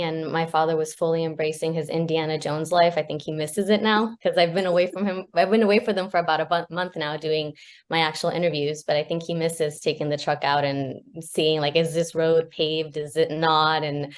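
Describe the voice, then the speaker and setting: A woman's voice: sits low, steady tone, brisk. A woman who is a graduate student researcher, talking casually on a video call with plenty of fillers and easy laughs. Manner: chatty, laughs often, many fillers. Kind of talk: casual panel chat.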